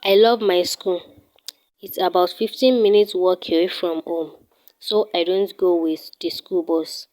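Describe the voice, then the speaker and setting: A teenage girl from Nigeria, speaking with a Nigerian accent and a slightly low voice. She narrates her home and daily routine at a careful, steady pace, laying things out one by one. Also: poor audio. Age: teens. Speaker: student showing her home.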